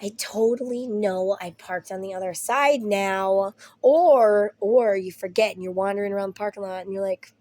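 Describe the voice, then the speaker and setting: A woman's voice: medium pitch, normal speed, hardly any pauses, darkish tone. A young woman, ill and losing her voice, chatting casually to camera at home, rambling and swearing with laughing asides. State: ill with Covid. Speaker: vlogger.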